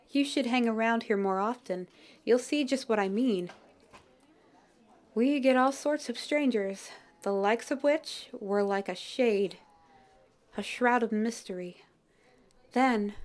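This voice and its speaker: A woman voicing a shy, humble young peddler flower girl in a fantasy audio roleplay, speaking softly and politely with old-fashioned phrasing. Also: medium pitch, natural voice. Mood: warm, grateful.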